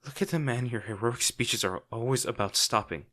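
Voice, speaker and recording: A man playing a crime-boss villain in an intimate audio roleplay, secretly tender and worried, speaking sardonically with dramatic pauses. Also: medium pitch, pushed and bright. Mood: intense, bitter.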